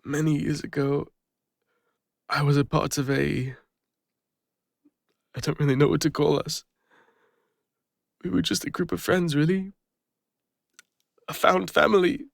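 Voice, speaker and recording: A man with an English accent playing a gentle, bashful lone ranger and former healer in an intimate audio roleplay, speaking hesitantly. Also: a little high, little pitch movement, creaky, no echo, studio quality. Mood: vulnerable, regretful. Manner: hesitant, soft-hearted, halting. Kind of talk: intimate scripted audio roleplay addressed to the listener.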